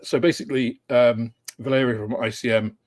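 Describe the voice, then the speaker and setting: A man's voice: mid-range voice, fairly even intonation, brisk, a little mellow. A man hosting a casual livestream chat, welcoming viewers in a meandering, hesitant way with frequent filler sounds and light chuckles. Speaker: host of a model-building livestream.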